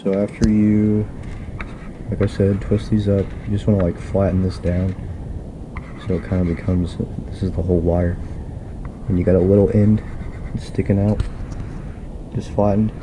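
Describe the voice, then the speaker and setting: A man casually walking through a do-it-yourself wiring job, relaxed and rambling with plenty of fillers. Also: deep voice, normal speed, long pauses, noisy background. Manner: laid-back, rambling, filler-heavy explaining. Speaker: DIY video host. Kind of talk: casual how-to walkthrough. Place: inside a pickup truck and around it, at night.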